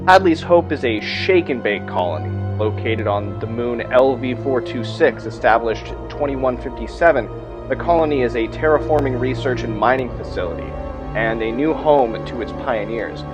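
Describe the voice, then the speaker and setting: Male game master, medium-pitched, measured storyteller read of tabletop game material with commentary, clear music bed underneath. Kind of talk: reading aloud scripted game text with commentary. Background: music behind the voice.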